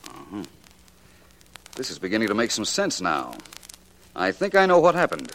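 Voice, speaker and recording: An actor playing a composed, probing private detective in a late 1940s radio mystery, measured and courteous in his questioning. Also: on the low side, huge pitch swings, fairly bright tone, rough recording. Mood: thoughtful.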